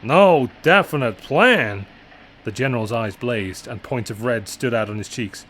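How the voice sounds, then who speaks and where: A man's voice: lowish voice, steady tone, normal speed. A man narrating an adventure story in a scripted, dramatic style.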